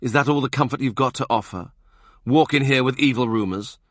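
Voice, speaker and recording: A man's voice: medium pitch, fairly quick, no echo, studio quality, pitched above the performer's own voice, lightly acted. A man voicing a shrewd, blunt adviser of humble birth who speaks curtly. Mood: stern. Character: shrewd, blunt male adviser, low-born.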